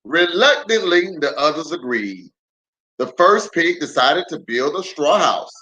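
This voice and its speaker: A man speaking as a pastor hosting a children's storytime, unhurried and animated, chatting with viewers and drawing out words for emphasis. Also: fairly high pitch, low-quality recording. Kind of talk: livestream greeting and read-aloud storytelling.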